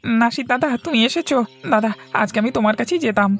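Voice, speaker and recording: A woman's voice: a little high, quick pace, faint music behind, natural voice. A woman voicing an earnest, timid young village handyman in a cartoon, pleading and defensive. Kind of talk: cartoon story acting.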